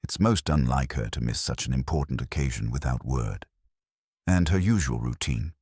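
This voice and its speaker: A man's voice: very deep voice, moderate pace, a little mellow, slightly rough, no room sound, studio quality, pitched below the performer's own voice. A man voicing a respectful middle-aged woman of the household, reporting things plainly.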